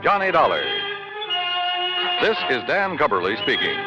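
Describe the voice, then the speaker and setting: A man's voice: medium pitch, wildly swooping pitch, moderate pace. A man announcing a scripted 1950s radio show with a polished, emphatic showman delivery. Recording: lo-fi 1950s radio with loud music.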